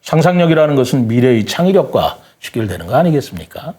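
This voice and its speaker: An older man speaking as an interview guest, giving his opinions earnestly in a deliberate, formal and polite way. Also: medium pitch, sing-song, dark tone.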